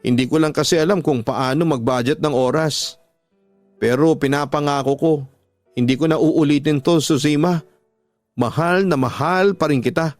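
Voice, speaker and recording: A man's voice: a little high, normal speed, no room sound, soft background music, close to an everyday voice. A man voicing a remorseful husband who earnestly pleads with his wife in a radio drama.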